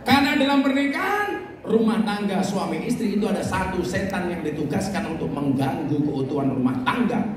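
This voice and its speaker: A man's voice: high voice, expressive, brisk. A man with a Javanese accent preaching at a question-and-answer gathering, joking and telling stories as he answers. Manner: joking storyteller, mixes in regional language. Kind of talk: humorous sermon Q&A. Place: large echoey venue. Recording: echoey hall, distant, loud crowd. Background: loud crowd and noise.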